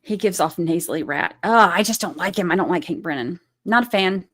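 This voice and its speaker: A woman's voice: on the low side, animated, fairly quick, fairly bright tone, husky. Chatty woman livestream host. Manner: chatty, reads comments aloud and reacts. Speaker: livestream host reading viewer comments. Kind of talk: casual livestream chat.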